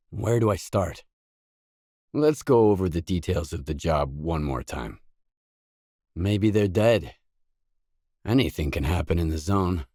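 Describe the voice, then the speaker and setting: Low-voiced, terse lone mercenary. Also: male voice, darkish tone, dry recording, studio quality. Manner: terse, stern, matter-of-fact. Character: gruff, guarded lone mercenary man, blunt and cool-headed. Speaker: video game protagonist voice. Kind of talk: scripted game dialogue lines.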